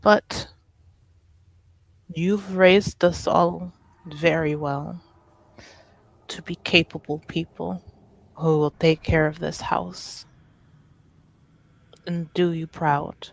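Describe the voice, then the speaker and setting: A woman playing a grieving grown daughter in a tabletop game, speaking with tender, halting pleading. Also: low voice, steady tone, creaky, no echo, full character voice. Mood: resolute, wistful. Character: grieving grown daughter at a dying parent's bedside. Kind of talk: tabletop roleplay acting.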